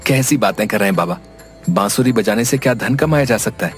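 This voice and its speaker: A man's voice: mid-range voice, animated, a little fast, soft background music, lightly acted. Earnest young village man, acted by a man. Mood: curious. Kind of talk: Hindi animated story dub.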